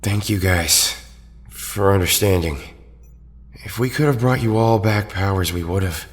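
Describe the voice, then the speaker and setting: A man plays an earnest young leader in an audio drama, halting and understated. His voice is deep and slow. It carries a hall reverb. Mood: apologetic. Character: earnest, apologetic, reserved young male team leader.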